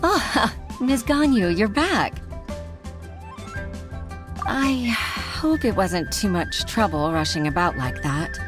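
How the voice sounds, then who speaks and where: A woman's voice: medium pitch, animated, takes it slowly, pauses often, music in the background. An actress playing a courteous, grateful townswoman in a video game, polite and deferential. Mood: polite concern. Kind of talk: video game character voice acting.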